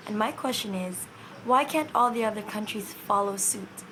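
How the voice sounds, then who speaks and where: A woman from the Philippines, reading a prepared statement in an earnest, measured way. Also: mid-range voice, background noise. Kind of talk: prepared statement read to camera.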